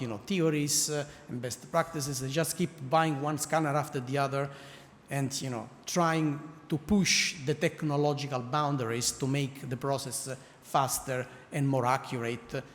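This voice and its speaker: A middle-aged man with an Italian accent speaks at an academic conference, stopping often on "uh" and restarting his sentences. He drops in dry, wry asides as he goes. His mid-pitched voice carries a long hall reverb over a faint background hiss. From Italy.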